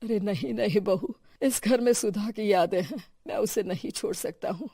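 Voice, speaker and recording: Old widowed father-in-law, done by a woman, mellow, dry sound, gentle, resigned, cartoon. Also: mid-range voice, normal speed, slightly rough, no echo. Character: mild, giving elderly father-in-law, a widower.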